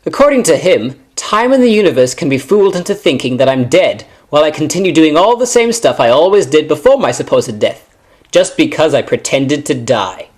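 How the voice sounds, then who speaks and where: A man's voice: on the high side, big pitch swings, brisk. A man playing a zany, self-mocking time-traveller in an amateur sci-fi parody, with a glib, mock-serious, wordy delivery. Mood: boastful, self-mocking.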